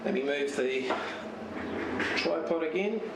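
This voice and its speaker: Man, roomy and distant, halting delivery. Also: a little high. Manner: halting, searching for words as he handles gear. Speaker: amateur radio and TV hobbyist. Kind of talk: casual unscripted show-and-tell of a home radio setup. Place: home radio shack. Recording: roomy, distant mic with steady noise.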